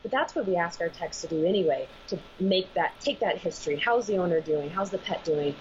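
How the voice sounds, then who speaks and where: Casting: lower-voiced woman vet, earnest guest, muffled call audio, faint hiss. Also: animated, brisk. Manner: earnest, thinks aloud with restarts. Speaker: veterinarian and animal massage school director. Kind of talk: remote interview guest. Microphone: video call.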